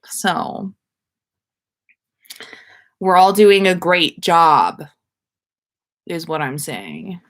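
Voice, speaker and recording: A woman's voice: fairly low pitch, sing-song, extremely slow, pauses often, fairly bright tone. A woman hosting a casual livestream, chatting to viewers in an effusive, rambling, playfully self-aware way. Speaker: livestream host.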